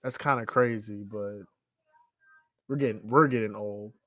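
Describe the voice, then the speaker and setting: A man's voice: fairly low pitch, fairly even intonation, measured pace, no room sound, studio quality. A man in his twenties co-hosting a podcast, talking loosely and rambling in casual chat. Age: 20s. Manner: loose, rambling, leans on "you know what I'm saying". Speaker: podcast co-host.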